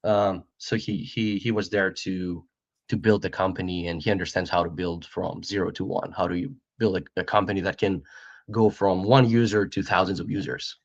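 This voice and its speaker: A man's voice: low-pitched, fairly even intonation, normal speed, no echo. A man from Greece, around forty, a startup co-founder telling his story in an interview, friendly and repeating his words as he goes. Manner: friendly, repeats words, laughs between points. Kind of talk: interview conversation. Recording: dry muffled remote call audio.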